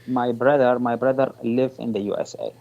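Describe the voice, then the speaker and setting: A man from Iraq, speaking English as an as a caller, halting and searching for words. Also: mid-range voice, creaky, muffled recording. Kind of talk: casual chat.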